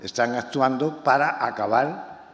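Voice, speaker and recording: A novelist from Málaga, presenting his book to an audience in a talk that is impassioned and halting, with many repeated words. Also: mid-range voice, a bit bright. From Málaga, Spain. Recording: echoey hall, faint room noise.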